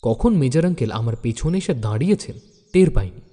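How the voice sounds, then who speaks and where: A man narrating a detective audio story in the first person as an earnest university student, expressive and dramatic. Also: mid-range voice, brisk, in a large hall, natural voice. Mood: mildly irked.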